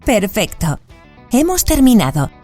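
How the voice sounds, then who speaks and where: A woman's voice: fairly high pitch, sing-song, unhurried, warm tone, faint music behind. A woman voicing a formal quiz-booth machine. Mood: formal prompting. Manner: formal, announcing machine prompts. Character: female automated movie-booth machine, formal. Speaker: quiz host voice.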